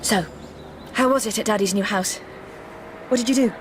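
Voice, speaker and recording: A woman's voice: medium pitch, moderate pace, background noise, close to an everyday voice. An actress playing a tired, loving but guarded single mother of a young girl in a radio drama. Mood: weary.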